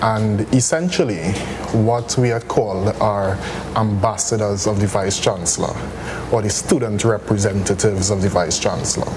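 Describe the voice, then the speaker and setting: A man's voice: on the low side, normal speed. A young man from Trinidad and Tobago, a student guest explaining his program formally in an interview. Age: young adult. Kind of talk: interview answers. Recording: noisy TV recording.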